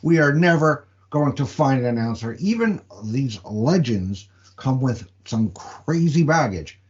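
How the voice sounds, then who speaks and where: A man playing a worried, exasperated wrestling promoter with a halting manner in a comedic audio play. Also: mid-range voice, animated, lo-fi sound, close to an everyday voice. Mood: exasperated, weary.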